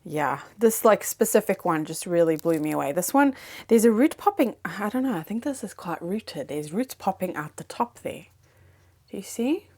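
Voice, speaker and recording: A woman's voice: mid-range voice, lively intonation, moderate pace, a little mellow. A woman vlogger chatting casually at home, rambling with lots of fillers and restarts; English is not her first language. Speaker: plant vlogger. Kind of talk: casual chat. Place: home, indoors.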